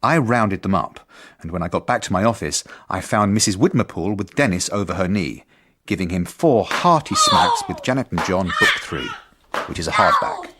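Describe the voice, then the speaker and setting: An actor playing an earnest, polite, beleaguered headmaster in a radio drama, with a dry, formal, measured manner. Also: male voice, lowish voice, wildly swooping pitch, sharp tone, slight background noise, heavily acted. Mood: exasperated, wry. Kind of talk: radio drama narration and dialogue.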